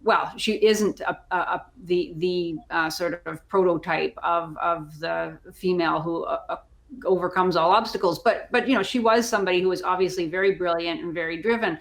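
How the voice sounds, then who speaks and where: A woman's voice: fairly low pitch, moderate pace. A woman on a discussion panel giving her opinions conversationally, often repeating words as she thinks aloud.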